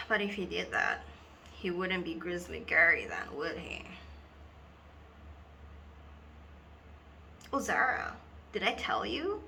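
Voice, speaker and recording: A woman performing a dramatic monologue as a lonely, wistful girl who confides haltingly and theatrically in an invisible friend. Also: lowish voice, creaky, sharp tone, slight background noise, heavily acted. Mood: wistful, hopeful. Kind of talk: dramatic monologue for an acting reel.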